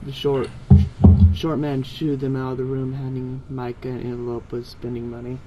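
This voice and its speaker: A man's voice: medium pitch, monotone, moderate pace. A man reading fan fiction aloud, narrating and voicing dialogue, sometimes stumbling over long words. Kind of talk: scripted story narration read aloud, with character dialogue. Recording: amateur recording with background noise.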